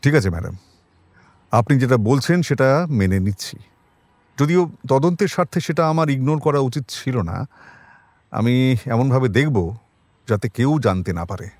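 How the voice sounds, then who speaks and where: A man playing a composed police detective in an audio drama, questioning politely but pointedly in a measured manner. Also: medium pitch, animated, darkish tone, no echo. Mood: calm, firm.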